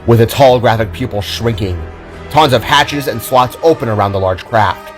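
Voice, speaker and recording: A man's voice: mid-range voice, normal speed, soft background music. A man narrating a scripted audio drama in a dramatic storytelling manner.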